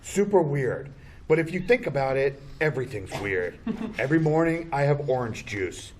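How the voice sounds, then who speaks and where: A man acting a deadpan, easily amazed oddball at a live comedy table read. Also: mid-range voice, normal speed, close to an everyday voice. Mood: deadpan wonder. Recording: live stage, faint noise.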